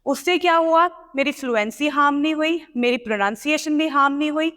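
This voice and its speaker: In a reverberant room, a woman with an Indian accent teaches in a high-pitched voice. She is didactic and articulates deliberately, often posing questions as she explains. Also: a bit bright. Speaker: English pronunciation and test-prep teacher. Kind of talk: instructional lesson mixing explanation and read-aloud demonstration.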